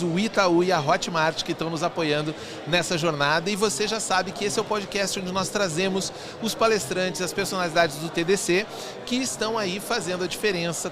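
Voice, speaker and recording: Chatty high-voiced southern host. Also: male voice. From southern Brazil. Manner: chatty, enthusiastic, rambling questions. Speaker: podcast host. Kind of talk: casual interview chat.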